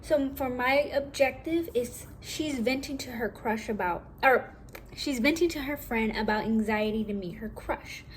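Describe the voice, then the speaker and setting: A young woman with a naturally high voice, talking casually and rambling a little as she shares acting tips from home. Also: measured pace, slight background noise, rough recording. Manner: chatty, rambling, self-correcting, casual. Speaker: vlogger.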